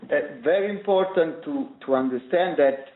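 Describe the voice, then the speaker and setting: Halting man on an echoing phone line. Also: a little high. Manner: halting, searching for words. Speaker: company executive. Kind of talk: unscripted answers on an earnings conference call. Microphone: conference call line.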